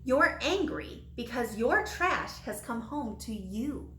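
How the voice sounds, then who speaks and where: A woman's voice: on the high side, slight background noise, heavily acted. Woman reading as a stern old wizard, roomy sound. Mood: stern, fed up. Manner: steady, serious, deliberate. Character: stern, weary old man wizard scolding litterers.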